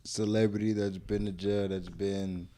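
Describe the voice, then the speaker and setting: A man's voice: on the low side, level tone, unhurried, mellow. A man as a podcast guest, chatting casually with loose, profane talk and easy laughter. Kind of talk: casual chat.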